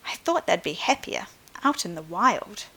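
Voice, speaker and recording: A woman's voice: fairly low pitch, sing-song, moderate pace, a bit bright, husky, full character voice, rough recording. A woman voicing a willful, bold four-year-old girl in a storybook, with an assertive, childlike manner. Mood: calm, decided. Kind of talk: storybook read aloud.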